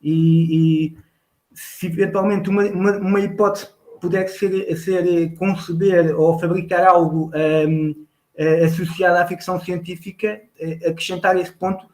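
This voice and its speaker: High-voiced, hesitant man from Lisbon. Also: flat delivery, unhurried, a little mellow, rough recording. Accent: Lisbon Portuguese. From Lisbon, Portugal. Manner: hesitant, searching for words with repetitions. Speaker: audience member commenting. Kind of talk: polite, formal spoken comment.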